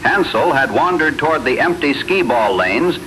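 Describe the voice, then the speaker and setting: A man's voice: lively intonation, normal speed. A man reading a scripted horror story as narrator. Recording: loud rumbling radio-like background noise.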